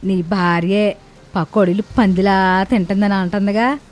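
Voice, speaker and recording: A scolding old grandmother from a family storybook drama, acted by a woman. She grumbles and complains theatrically in a medium-pitched voice. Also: fairly even intonation, unhurried, lightly acted. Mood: offended, grumbling.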